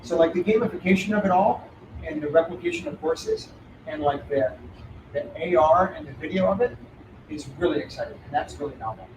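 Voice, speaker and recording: Upbeat man, a runner, praising a product at a live event; far from the mic, roomy, with steady background noise. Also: a little high, fairly quick. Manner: enthusiastic, loose, uses fillers like "like". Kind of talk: enthusiastic talk to an audience. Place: event room with audience. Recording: roomy hall, distant voice, clear noise.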